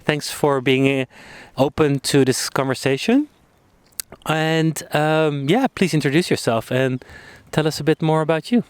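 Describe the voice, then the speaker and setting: A man hosting a podcast interview on an outdoor bench, friendly and hesitant, with many pauses and fillers. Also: fairly high pitch, clear, resonant tone, no room sound. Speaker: podcast host. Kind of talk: casual interview.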